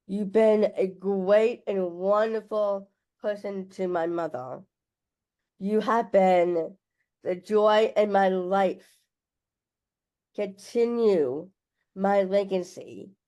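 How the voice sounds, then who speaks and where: A young woman sharing a heartfelt personal story in a soft, halting way on a video call. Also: medium pitch.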